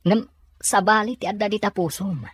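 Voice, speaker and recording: An actor plays a concerned, frank Filipino friend in a radio drama. The voice is husky and close, in a very dry recording. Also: male voice, sits high, steady tone, moderate pace, a bit bright, no echo. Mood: frank, worried. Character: concerned male friend giving frank advice.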